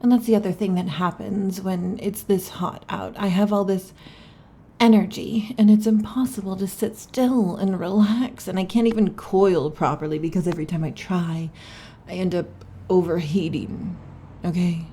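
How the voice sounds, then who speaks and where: A woman voicing a restless, irritable female snake-tailed lamia in an ASMR roleplay, huffy and dramatic, often slipping into soft whispers. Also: lowish voice, steady tone, normal speed, slight background noise, lightly acted.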